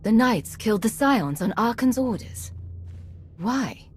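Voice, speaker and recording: An actress playing a defiant, confident commander in a video game, firm and dry in manner. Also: female voice, fairly high pitch, expressive, measured pace, a little hiss or hum. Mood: resolute.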